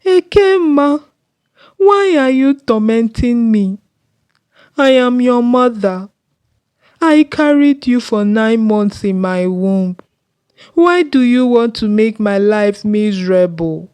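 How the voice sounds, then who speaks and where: Woman acting out a loud, high-pitched scolding village mother. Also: lively intonation, takes it slowly, clean tone, no echo. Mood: tearful wailing. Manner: dramatic, accusing, wailing. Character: scheming middle-aged village mother, loudly scolding her daughter.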